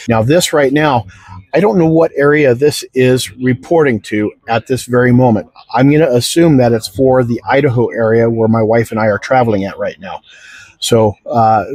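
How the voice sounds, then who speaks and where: A man's voice: mid-range voice, a little mellow, breathy edge, no echo. A middle-aged American man giving a casual, unscripted product demonstration in a folksy, rambling way. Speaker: product reviewer.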